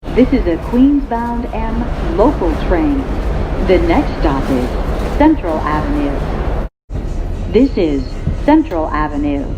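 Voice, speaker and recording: A woman reading scripted automated subway announcements in measured, segmented phrases over a train's speakers. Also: lowish voice. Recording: echoey muffled train PA, loud vehicle noise.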